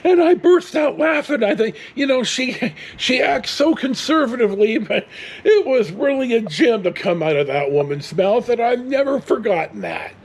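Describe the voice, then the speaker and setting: A man's voice: very high-pitched, expressive, moderate pace, breathy edge. A middle-aged American man tells rambling casual stories in a blunt, salty way. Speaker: storyteller vlogger.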